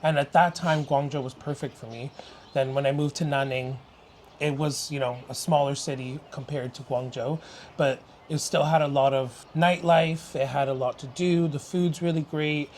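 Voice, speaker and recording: A man's voice: a little high, steady tone, moderate pace, darkish tone, a little hiss or hum. Chatty young Canadian man. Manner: open and chatty, laughs easily. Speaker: interview guest. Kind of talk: casual chat.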